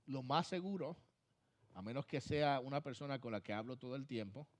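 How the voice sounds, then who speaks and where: A man with a Puerto Rican accent preaching a sermon as pastor, pushing phrases hard and then letting them fall soft. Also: mid-range voice, a little fast. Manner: emphatic preaching, swings from loud to soft trailing phrases.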